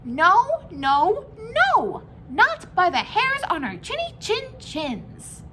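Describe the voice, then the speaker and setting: A woman as a very high, squealing little pig. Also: huge pitch swings, slow pace, heavy background noise, full character voice. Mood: frightened defiance. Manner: squealing, emphatic refusals. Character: frightened but defiant little storybook pigs.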